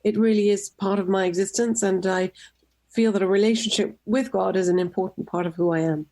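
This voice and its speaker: A middle-aged woman from Tunisia, a nonprofit director, giving measured, composed answers in a radio interview. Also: medium pitch, steady tone, dark tone. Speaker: guest, nonprofit executive director.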